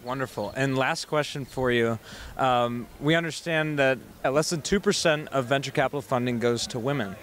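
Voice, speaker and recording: A young adult man interviewing a guest on a busy city street, asking upbeat, friendly questions with some halting restarts, into a handheld mic. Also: medium pitch, a bit bright.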